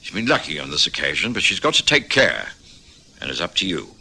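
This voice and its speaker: An actor playing an earnest, caring family doctor in an old radio drama, speaking in a grave, measured and admonishing manner. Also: male voice, low-pitched, very animated, creaky, bright, forceful tone, husky, heavily acted. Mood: stern concern. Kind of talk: old-time radio drama acting and narration.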